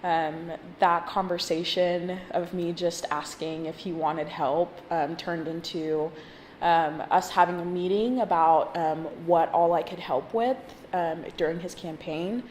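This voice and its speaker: Slow-spoken young woman in a roomy space. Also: fairly low pitch, fairly even intonation, darkish tone. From born in Zimbabwe, grew up in Texas from age four. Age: 20s. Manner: hesitant, pausing mid-phrase, casual. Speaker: graduate student interviewed in a documentary. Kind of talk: personal interview, reflective talk. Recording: roomy echo, faint background noise.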